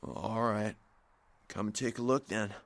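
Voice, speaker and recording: An actor playing a nervous, spaced-out shop owner in a video game, rambling in a hesitant stoner drawl. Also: male voice, fairly low pitch, steady tone, a little slow, vocal fry, no room sound. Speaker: shopkeeper character in a video game.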